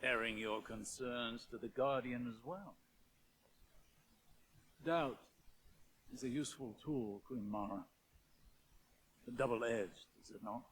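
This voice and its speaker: A man's voice: medium pitch, slow pace, pauses often, a bit bright, slightly rough. A man playing a learned, cautious scholar in a video game, with a grave, theatrical delivery and weighty emphasis. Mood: cool irony. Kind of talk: dramatic game cutscene dialogue.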